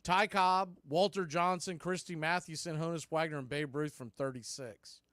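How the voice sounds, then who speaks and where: A man hosts a baseball broadcast with a slightly high-set voice and a dry, close sound. He calls the action in clipped phrases, leaving deliberate pauses between them. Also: fairly even intonation, fairly bright tone, no echo. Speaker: baseball play-by-play host. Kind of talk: live play-by-play commentary mixed with history recap.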